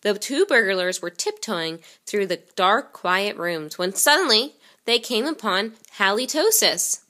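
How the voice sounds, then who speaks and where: A woman reading a children's picture book aloud in an expressive storytelling way. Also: mid-range voice, unhurried. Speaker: reader.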